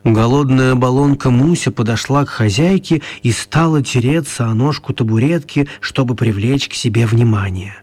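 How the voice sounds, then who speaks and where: A male narrator tells a children's story as scripted radio drama. His voice sits at a middling pitch and has a husky grain. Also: moderate pace, darkish tone.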